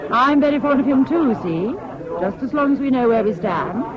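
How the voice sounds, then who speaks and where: Gushing society hostess, high lively voice, bossy emphasis, possessive, radio drama. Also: female voice, moderate pace, a crowd in the background. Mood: insistent, possessive. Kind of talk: 1970s British radio drama acting. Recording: dull old radio recording, busy background noise.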